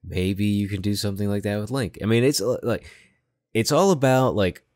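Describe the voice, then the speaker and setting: A man's voice: on the low side, normal speed, darkish tone, no echo, studio quality. A man giving his opinions in casual, slangy conversation as a podcast co-host. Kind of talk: casual chat about games and films.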